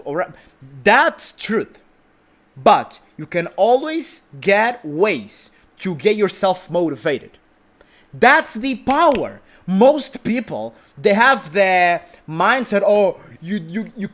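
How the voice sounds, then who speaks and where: A man who is a non-native English speaker, delivering an informal, unscripted motivational monologue as a host, emphatic and repetitive. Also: on the high side. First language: not English.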